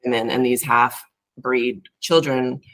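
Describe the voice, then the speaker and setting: A woman's voice: a bass voice, fairly even intonation, slow, low-quality recording. A woman from California, a therapist being interviewed, talking in a rambling, reflective way with many fillers and restarts. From California, United States. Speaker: therapist, podcast guest. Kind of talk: casual interview talk.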